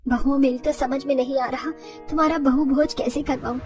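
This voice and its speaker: A woman's voice: sits high, steady tone, a little fast, darkish tone, distant mic, soft background music, lightly acted. A woman playing a poor, anxious but kindly village mother-in-law in moral-story cartoon dialogue. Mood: worried, flustered.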